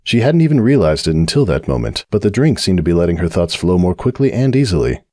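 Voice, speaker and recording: Expressive low male narrator. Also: quick pace, no room sound, clean studio sound. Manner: expressive storytelling. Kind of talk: dramatic audiobook reading.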